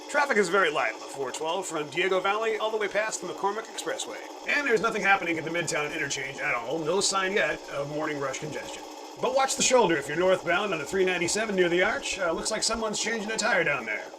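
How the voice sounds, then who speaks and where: A man's voice: high-pitched, animated, fast. A man playing a breezy helicopter traffic reporter giving a radio report over chopper noise. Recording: loud helicopter-like noise behind the voice.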